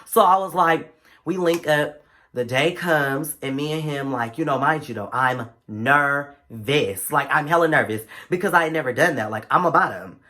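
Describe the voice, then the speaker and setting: A young man with a stuffy, congested voice, telling a story in a casual vlog in an animated, slangy and playful way. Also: on the high side, moderate pace. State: congested from a cold. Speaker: vlogger.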